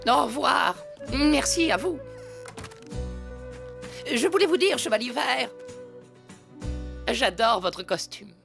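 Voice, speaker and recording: An actor voicing a fussy, excitable groundhog gentleman in a cartoon, with theatrical, courtly manners. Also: mid-range voice, slow pace, gravelly, long pauses, over music. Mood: effusive. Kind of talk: animated cartoon dubbing.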